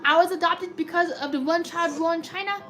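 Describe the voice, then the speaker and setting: A woman with a robotic-sounding voice chatting excitedly through a live slot machine stream, reading and answering chat comments. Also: extremely high pitch, fast talker, faint music behind. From United States. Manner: chatty, excitable cheering at spins.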